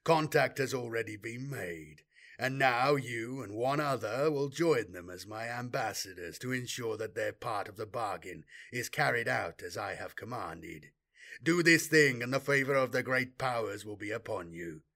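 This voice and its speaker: A man's voice: mid-range voice, animated, normal speed, bright, forceful tone. A man voicing a disdainful male warlord who speaks in a cold, dead, mocking voice.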